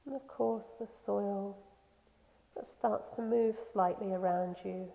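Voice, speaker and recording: A woman leading a guided meditation in a soothing, gentle, unhurried manner with pauses. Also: mid-range voice, steady tone, creaky. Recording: echoey long reverb, slightly dull sound.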